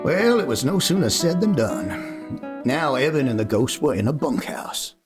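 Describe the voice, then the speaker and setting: Man playing a folksy, animated cowboy storyteller. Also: medium pitch, unhurried. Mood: storytelling. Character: folksy cowboy storyteller telling a boy a tale. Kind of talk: folksy Western radio play narration. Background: guitar music.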